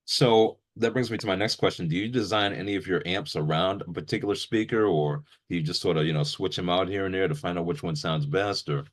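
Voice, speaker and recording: A man's voice: low-pitched, a little fast, few pauses. A man hosting a casual interview, speaking in an informal, conversational way. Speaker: interviewer and gear reviewer.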